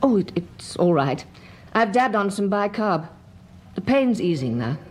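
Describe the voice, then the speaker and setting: An actress playing a genteel, aggrieved and anxious tenant in a radio drama, prim and earnest, with a British accent. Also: female voice, medium pitch, sing-song, measured pace. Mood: flustered.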